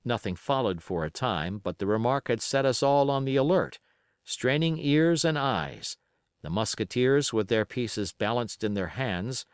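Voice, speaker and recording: A man reading literary narration as an audiobook, expressive and measured in his storytelling. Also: mid-range voice, no room sound, studio quality.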